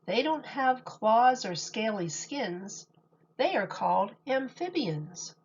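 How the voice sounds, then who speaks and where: A woman teacher reading a picture book aloud to young children in a deliberate, expressive storytelling manner. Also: on the high side, lo-fi sound, slight background noise.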